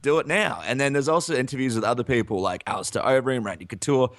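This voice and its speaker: A man's voice: medium pitch, quick pace, fairly bright tone, no echo. A man from Ukraine co-hosting a combat sports podcast in casual chat, laughing often as he talks. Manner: chatty, laughs often, promotes channels. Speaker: podcast co-host.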